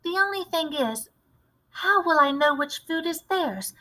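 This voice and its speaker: Here is a small, brave mouse who was once a boy, acted out by a woman reading a storybook. The voice is high and bright, with an earnest, excitable eagerness. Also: a little slow, exaggerated voice. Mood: thoughtful.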